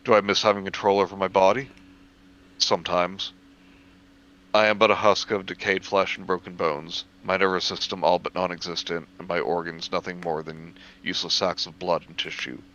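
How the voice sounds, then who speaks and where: Deep, bright-voiced man reading horror aloud. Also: highs cut off, a little hiss or hum. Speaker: podcast co-host reading a story aloud.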